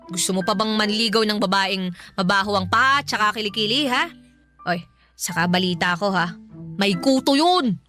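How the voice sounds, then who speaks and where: A woman's voice: medium pitch, normal speed, sharp tone, soft background music, lightly acted. An actress playing an irritable, exasperated young woman in a radio drama, speaking emphatically. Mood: gossipy, emphatic. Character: irritable young woman hiding jealousy, fibbing to her friend. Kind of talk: Tagalog radio drama acting.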